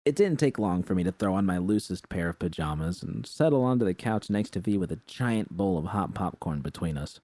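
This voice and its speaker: A man narrates first-person fiction in a low, dark voice. It is a scripted audiobook read with a close, dry sound and no room around it. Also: lively intonation, a little fast, hardly any pauses, dry recording.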